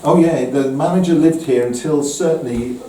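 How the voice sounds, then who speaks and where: A man's voice: fairly high pitch, normal speed, mellow, a little hiss or hum. A man casually guiding a group through an old house, chatty, with frequent ums and restarts. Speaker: house owner giving a guided tour. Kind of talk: casual guided tour. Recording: roomy indoor sound.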